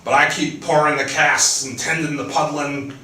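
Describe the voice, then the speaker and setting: A man's voice: fairly high pitch, fairly even intonation, normal speed, bright, forceful tone, voice pushed up. A man voicing a bitter weary iron worker. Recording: roomy, distant mic, faint ambience.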